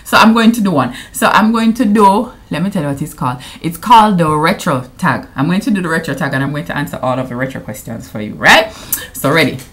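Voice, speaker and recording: Jamaican woman, 30s, vlogger; playful, chatty to camera, patois mixed in, slightly low voice. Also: lively intonation, quick pace, fairly bright tone. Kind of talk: casual anniversary vlog to camera.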